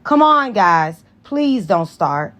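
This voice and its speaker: A woman voicing a confident, fun-loving young female teacher in an audiobook, in a lively, assertive way. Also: medium pitch, slow pace. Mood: placating. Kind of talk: dramatized audiobook dialogue.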